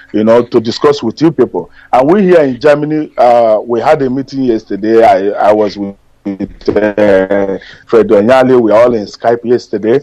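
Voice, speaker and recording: A Nigerian man with an Igbo-speaking background talks slowly and haltingly, stumbling over his words and repeating himself as he searches for them. His voice sits at an ordinary man's pitch and sounds very dry, with no room around it. He is a middle-aged caller on a radio show. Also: warm tone, no room sound, rough recording. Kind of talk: call-in radio talk. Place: Germany.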